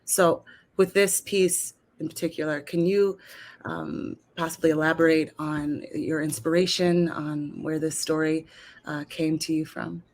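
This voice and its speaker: A woman's voice: low-pitched, steady tone, measured pace, a little mellow. A woman actor interviewing a guest in a casual, appreciative conversational style.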